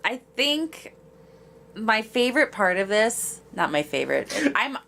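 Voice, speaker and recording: A woman's voice: a little high, very animated, a little slow, gravelly, pushed and bright. A woman co-hosting a podcast in casual chat, speaking bluntly and informally in a rambling way. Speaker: podcast co-host.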